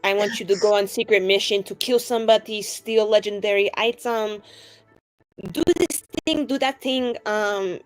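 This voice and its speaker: A woman casually roleplaying at a tabletop game, expressive and quick to joke. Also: mid-range voice, low-quality recording. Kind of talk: casual, joking in-character roleplay and table talk.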